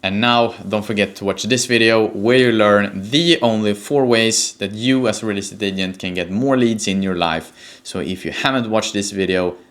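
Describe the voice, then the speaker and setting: A Swedish man with a low voice and a Swedish accent speaks as a coach presenting to viewers. He explains in an informal way, repeating and stressing the points that matter. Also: moderate pace, few pauses, a bit bright. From Sweden. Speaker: presenter, personal branding coach for real estate agents. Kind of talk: explanatory talk to camera.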